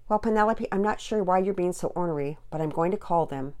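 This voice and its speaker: A woman voicing a caring but firm mother in an audiobook story, measured and probing. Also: fairly low pitch, a little mellow, close to an everyday voice. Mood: concerned, firm.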